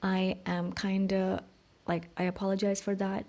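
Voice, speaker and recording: A woman's voice: mid-range voice, level tone, normal speed, dark tone. A young woman who speaks Urdu natively, chatting casually in English with Urdu phrases mixed in as she narrates a vlog about her week at art college. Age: young adult. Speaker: art student vlogger.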